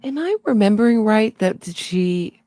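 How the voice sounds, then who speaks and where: A woman's voice: medium pitch, expressive, moderate pace, dark tone, dry recording, clean studio sound. A woman giving skeptical, wry commentary on a true crime case and speaking straight to her audience. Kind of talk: casual commentary over interrogation clips.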